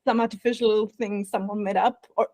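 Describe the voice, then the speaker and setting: A woman's voice: mid-range voice, steady tone, moderate pace, mellow, no echo. A woman from Austria with an Austrian accent, a burnout prevention coach telling her story in a podcast interview, reflective and laughing often.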